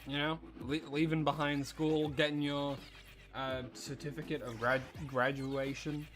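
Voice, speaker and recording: A man's voice: fairly high pitch, level tone, a little slow, a bit bright. A young man streaming a game, sounding stuffy and nasal from allergies, chatting casually with viewers and musing aloud with fillers. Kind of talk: casual live-stream chat while gaming.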